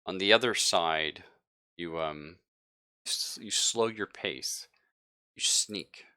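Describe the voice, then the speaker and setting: A man's voice: low voice, takes it slowly, sharp tone. A man acting as game master, narrating a live tabletop roleplaying scene in suspenseful second person.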